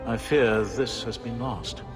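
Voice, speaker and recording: An actor playing a solemn, cryptic older man in a fantasy video game, with a grave, formal, measured delivery. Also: mid-range voice, lightly acted. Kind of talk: dramatic fantasy game voice acting. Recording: music clearly behind. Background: music.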